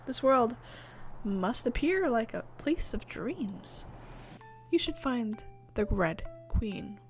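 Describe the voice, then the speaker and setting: A woman voicing a gentle, evasive queen in a visual novel, hesitant and cryptic. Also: a little high, animated, takes it slowly, faint music behind.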